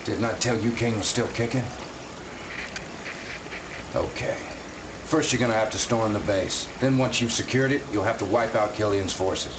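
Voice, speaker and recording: A man voicing a brash soldier briefing his commander in a casual, eager way, his voice fairly low. He sounds set back from the mic, with hiss in the cutscene audio. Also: moderate pace, pauses often, recorded from a distance, close to an everyday voice.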